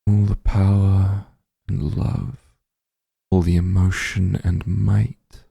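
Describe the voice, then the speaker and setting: A man playing a doting, possessive demon lord in an intimate ASMR roleplay, speaking in a tender, coaxing hush with a dark, husky voice, close to the microphone. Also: lowish voice, no room sound, full character voice. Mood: adoring, possessive. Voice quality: very dark, husky, gravelly, breathy. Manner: tender, coaxing, teasing, hushed. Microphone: close microphone, dry.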